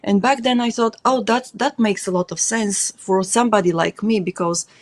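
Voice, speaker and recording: A woman's voice: medium pitch, moderate pace. A woman talks in English, which is not her first language, as a guest in a casual chat. She thinks aloud and leans on fillers as she looks for words.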